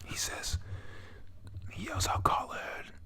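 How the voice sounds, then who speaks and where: A breathy, whispered voice reading a comic chapter aloud and recapping it, halting over lines and adding casual, sweary, excitable asides. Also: medium pitch. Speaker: narrator recapping a manga story.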